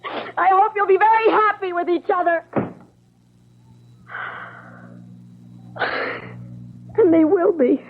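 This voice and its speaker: An actress playing a distraught, imploring fiancée in an old-time radio drama. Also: female voice, extremely high pitch, moderate pace. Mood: anguished, tearful. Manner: imploring, emotional. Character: distraught, pleading young fiancée, sensitive and moral. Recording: tinny narrow vintage radio recording.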